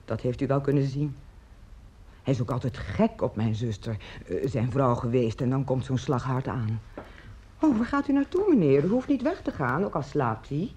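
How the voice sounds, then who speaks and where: A woman's voice: rumbling low, a little mellow. A woman acting in a radio play as a practical, complaining sister-in-law of a drunkard, chatty and matter-of-fact. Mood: confiding, worried, then fussing.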